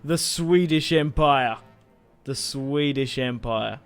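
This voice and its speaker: A man's voice: mid-range voice, slow pace, smooth, faint music behind. A young man casually commentating a strategy game he plays, chatty and rambling, swearing now and then. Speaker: gaming YouTuber. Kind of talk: casual let's-play commentary.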